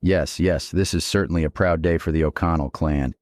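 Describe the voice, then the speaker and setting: A man's voice: extremely low pitch, fairly even intonation, fairly quick, a little mellow, no room sound. An actor playing a middle-aged imagined dead father in an audio drama, chiding and dryly teasing his grown child. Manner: dry, chiding.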